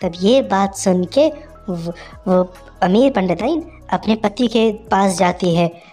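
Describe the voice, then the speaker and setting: A woman's voice: medium pitch, slow pace, dark tone, soft background music. A woman narrating a children's moral story in a simple, conversational way.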